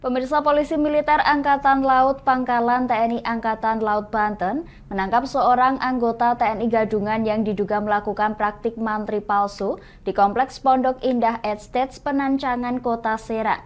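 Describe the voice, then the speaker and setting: A woman news anchor greeting viewers and presenting headlines in a formal, measured way. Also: on the high side, steady tone.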